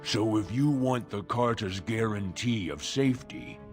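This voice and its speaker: An actor playing a smug dwarf gangster in a fantasy game, threatening with mock courtesy. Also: male voice, fairly low pitch, animated, measured pace, soft background music. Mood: smug threat. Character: menacing, smug male dwarf gangster extortionist.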